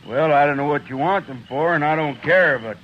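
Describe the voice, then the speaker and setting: An actor playing a surly, defiant trail boss who talks with scorn, in 1950s radio drama. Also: male voice, on the high side, a little fast, muffled recording, a little hiss or hum, close to an everyday voice. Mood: scornful. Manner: sneering, drawling, scornful.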